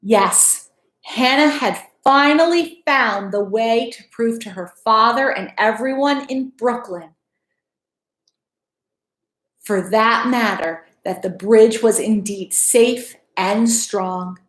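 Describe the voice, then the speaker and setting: A woman's voice: a little high, slow, roomy sound, low-quality recording. A middle-aged woman reading a children's storybook aloud like a school principal, with teacherly asides to her listeners, in a home room. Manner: expressive, teacherly, addresses listeners with questions. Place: small room at home, indoors.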